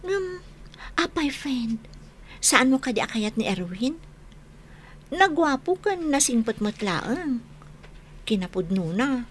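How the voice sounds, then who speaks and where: An actress with an Ilocano Filipino accent playing a teasing, loyal young college friend in a radio drama. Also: female voice, high voice, big pitch swings, takes it slowly, long pauses, breathy edge, full character voice. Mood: curious, teasing.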